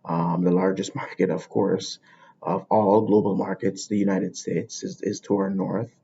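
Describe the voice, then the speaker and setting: A low-voiced man with a gravelly, husky edge talks through his ideas without a script. He thinks aloud and often loops back over his own words. The sound is dull and lo-fi. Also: steady tone, a little slow, mellow. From Jamaica. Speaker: podcast host. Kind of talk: unscripted explanatory monologue. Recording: dull lo-fi recording.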